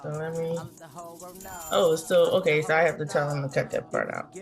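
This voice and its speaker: Lady host w a deep-ish voice, casual interview chat, loose phrasing, bit of music behind. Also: steady tone, fairly quick.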